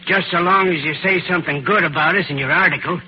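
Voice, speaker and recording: An actor playing an affable, folksy mine supervisor in an old-time radio drama, obliging and easygoing. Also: male voice, a little high, fast, close to an everyday voice. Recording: noisy, muffled old radio recording.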